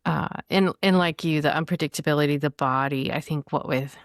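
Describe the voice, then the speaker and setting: A woman with a low-pitched voice speaks as a guest on a poetry program. She moves between conversational talk and reading verse, in a very dry recording with little room sound. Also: fairly quick, no room sound. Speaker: guest poet. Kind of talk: literary conversation with poem reading.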